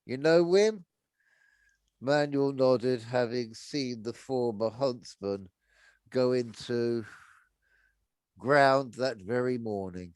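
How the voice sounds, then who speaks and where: A man's voice: medium pitch, steady tone, slow pace, smooth, no echo. A man reading a story aloud unrehearsed, narrating and now and then stumbling over words and rereading. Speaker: reader of a book aloud.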